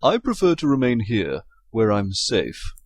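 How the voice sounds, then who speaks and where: An actor playing a smooth, self-important announcer in an audio comedy, formal and deadpan in his wit. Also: on the low side, measured pace, no echo, studio quality, exaggerated voice. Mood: wry. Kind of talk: announcing, comic audio drama.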